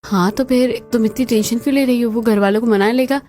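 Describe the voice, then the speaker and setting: A caring young sister-in-law, acted by a woman, speaks reassuringly in cartoon dialogue. Her voice is fairly high, and faint music plays behind. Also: steady tone, fairly quick, mellow, lightly acted.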